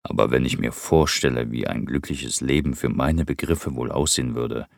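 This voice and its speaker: Male reader doing a worn-out quiet guy, very deep and gravelly, holding back. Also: moderate pace, dry recording, clean studio sound. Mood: hesitant. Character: weary, withdrawn man, quiet and hesitant.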